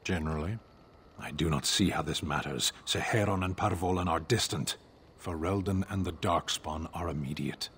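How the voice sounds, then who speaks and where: An actor playing a big, stoic warrior in a video game, speaking in curt, formal, deadpan sentences. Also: male voice, sits low, moderate pace, slight background noise. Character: stoic, terse warrior soldier, large and stern. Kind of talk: video game dialogue acting.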